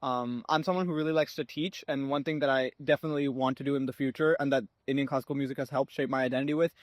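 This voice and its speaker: A teenage boy answering interview questions, talking quickly and filling pauses with "um" and "uh". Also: mid-range voice, steady tone, no room sound. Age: teens. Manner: fast-talking, with "um" and "uh" fillers. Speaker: student interviewee.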